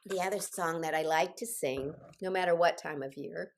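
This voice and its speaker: A woman's voice: sits low, moderate pace, creaky, slight background noise. An older woman getting over a cold, leading a group singing session over a video call in an encouraging, directive way. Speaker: choir leader and singing instructor. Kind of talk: instructional group singing session with personal asides.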